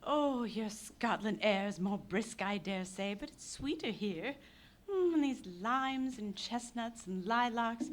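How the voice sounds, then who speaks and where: An actress playing a spirited, wistful upper-class young woman in a live radio play, with refined theatrical phrasing. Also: fairly high pitch. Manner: expressive, theatrical, refined wording. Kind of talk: live old-time radio play acting.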